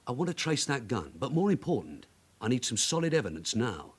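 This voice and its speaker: An actor playing a shrewd, middle-aged detective sergeant in a radio crime drama, brisk, persuasive and controlled. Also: male voice, mid-range voice, very animated, husky. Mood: urgent, determined. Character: shrewd veteran London detective sergeant, wily and insistent.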